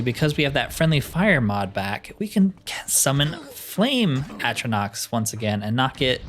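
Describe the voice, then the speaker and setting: A man's voice: medium pitch, fairly bright tone. Casual wry man over game music. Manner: wry, thinking aloud. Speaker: gaming video host. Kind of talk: casual solo video game playthrough commentary.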